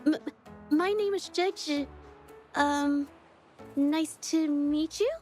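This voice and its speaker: Timid game girl, young painter, high voice, hesitant and stammery, always apologizing. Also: takes it slowly, darkish tone, soft background music. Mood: awkward, apologetic. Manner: hesitant, stammering, self-effacing. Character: shy, flustered young woman painter, sweet and apologetic. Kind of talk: video game character dialogue.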